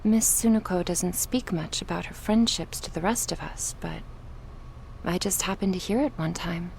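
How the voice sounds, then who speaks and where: A woman acting confrontational women in a vampire crime syndicate roleplay, speaking deliberately in a dramatic audio style. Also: lowish voice, vocal fry, a little mellow, slight background noise, rough recording. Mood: brooding.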